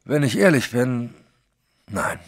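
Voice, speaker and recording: An actor playing a wary, plain-spoken private detective in a German audio drama, firm and sceptical. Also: male voice, medium pitch, lively intonation, slow. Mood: uneasy.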